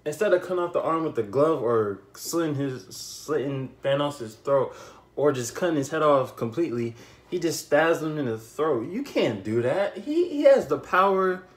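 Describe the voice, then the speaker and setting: A young man giving a casual, unscripted movie recap, telling it in an animated way with repeated phrases and slangy asides. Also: a little high, normal speed. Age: young adult. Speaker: YouTube film reviewer. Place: small bedroom, indoors.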